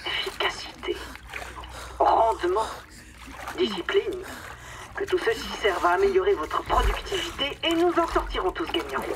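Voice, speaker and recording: A woman's voice: mid-range voice, sing-song, normal speed, long pauses, lightly acted. An actress playing a falsely affectionate, condescending woman boss in a darkly comic radio drama, with a theatrical, mockingly sweet manner. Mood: falsely cheerful. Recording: distant microphone, clear watery background noise.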